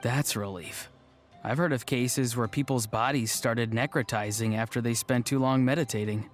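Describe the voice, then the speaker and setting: A man's voice: medium pitch, normal speed, soft background music, close to an everyday voice. A man playing a friendly, level-headed young man with a casual, teasing manner in a video game. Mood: relieved. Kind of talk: video game cutscene voice acting.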